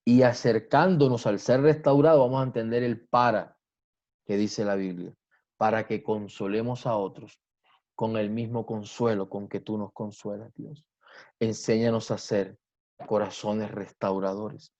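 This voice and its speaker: Fervent pastor on a muffled stream. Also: male voice, on the low side, fairly even intonation, unhurried, a little mellow. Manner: fervent, blessing-heavy, repeats phrases. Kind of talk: preaching and greeting viewers online. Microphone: video call. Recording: dull muffled online stream audio.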